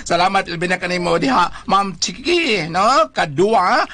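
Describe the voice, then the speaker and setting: A man's voice: high voice, measured pace, sharp tone. A man with a Cebuano Filipino accent co-hosting a radio show, giving casual chat and sponsor greetings.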